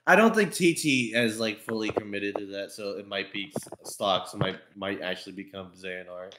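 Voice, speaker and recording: A man chatting casually as a podcast member, in a halting way with frequent chuckles. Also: fairly low pitch, bright, forceful tone, poor audio. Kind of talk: casual group chat.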